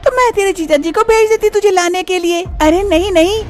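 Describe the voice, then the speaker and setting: A woman voicing both twin sisters in a cartoon story, a young wife and her scheming sister, lively and teasing. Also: very high-pitched, a little fast, faint music behind. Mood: playful.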